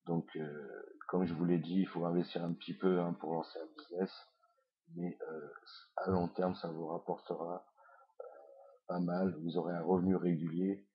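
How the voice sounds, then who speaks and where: A deep, monotone man with a head cold walks through a screencast lesson, hesitating often with fillers. His voice is husky and comes through a dull, lo-fi computer mic. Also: normal speed. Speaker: online course instructor. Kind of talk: screencast tutorial.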